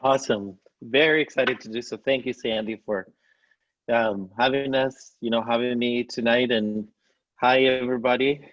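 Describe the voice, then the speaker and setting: A Spanish-born man gives an enthusiastic travel presentation over a video call, adding fillers as he goes. His voice sits at an everyday pitch for a man. The call audio is dry and dull, with no room sound. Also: steady tone, moderate pace, a bit bright, no echo. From Spain. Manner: enthusiastic, descriptive, with frequent fillers. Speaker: tour company CEO presenting.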